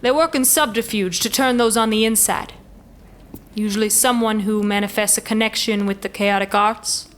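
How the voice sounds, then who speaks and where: A woman's voice: mid-range voice, steady tone, normal speed, close to an everyday voice. A woman playing a noble elven commander in a fantasy audio drama, speaking in formal, stately oratory. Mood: formal, commanding.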